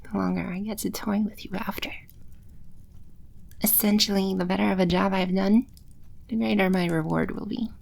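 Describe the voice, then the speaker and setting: A woman playing a playful, teasing demon empress in an ASMR audio roleplay, speaking with unhurried, coy confidence. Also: medium pitch, steady tone, vocal fry, a little mellow. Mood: pleased, sly. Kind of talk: ASMR audio roleplay, spoken close to the listener.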